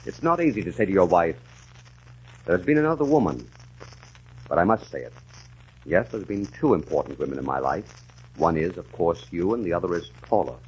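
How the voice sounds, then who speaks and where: Casting: earnest, haunted gentleman with shell shock, 1940s radio drama. Low voice, wide swings. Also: big pitch swings, breathy edge, exaggerated voice. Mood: earnest, confessional. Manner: earnest, halting and hesitant in dialogue. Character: troubled middle-aged English gentleman recalling his shell-shocked, amnesiac past. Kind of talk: 1940s radio drama acting, dramatic first-person narration. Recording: muffled, noisy 1940s radio recording.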